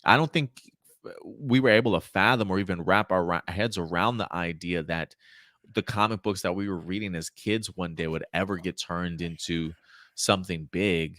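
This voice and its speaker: A man in his thirties with a deep-set voice hosts a casual live chat show. He rambles a little, hesitating and rephrasing as he thinks aloud. The sound is dry, with very little room in it. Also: moderate pace, a bit bright, dry recording. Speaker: host of a live pop culture show. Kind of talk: casual chat, answering viewer questions.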